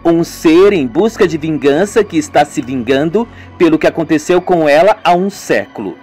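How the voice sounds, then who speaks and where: A serious young man, read by a man who speaks gravely and judges sternly. The voice is fairly high for a man. Faint music plays beneath. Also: animated, moderate pace, darkish tone. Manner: grave, judging.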